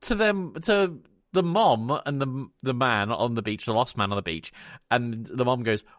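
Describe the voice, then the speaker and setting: A man's voice: mid-range voice, normal speed, fairly bright tone, no echo, clean studio sound. A man co-hosting a podcast, casually retelling a film in a loose, conversational way and acting out lines. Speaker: podcast co-host.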